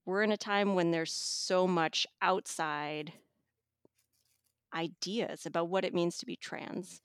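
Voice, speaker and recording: A woman giving a conversational book review as a guest, thoughtful and pausing to find her words. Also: fairly low pitch, fairly even intonation, clean tone, no echo, clean studio sound. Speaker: magazine editor reviewing an audiobook as guest.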